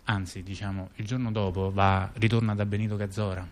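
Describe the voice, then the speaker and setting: A man's voice: fairly low pitch, flat delivery. A man telling a story conversationally, repeating words and often tagging on little questions. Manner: halting, with repeated words and frequent rhetorical tag questions. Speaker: storyteller in a two-person narrative theatre dialogue. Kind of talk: conversational narrative monologue.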